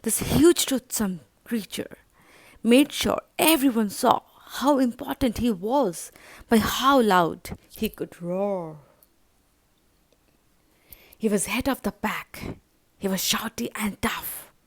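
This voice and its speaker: A woman storyteller reading a children's story aloud in a dramatic, expressive manner. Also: a little high, slow, no room sound.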